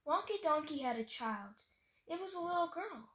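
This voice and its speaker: A woman's voice: sits high, lively intonation, moderate pace, fairly bright tone. A woman reading a children's story aloud in a playful, sing-song way, leaning on the rhymes. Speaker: picture book reader. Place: bedroom at home, indoors. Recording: home video with clear background noise.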